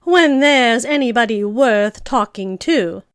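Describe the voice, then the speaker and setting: A haughty, passionate talking flower from a storybook. The voice is high and very deliberate, bossing and scolding with heavy emphasis. It sounds slow and dry. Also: female voice, lively intonation, dry recording. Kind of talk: storybook character voice.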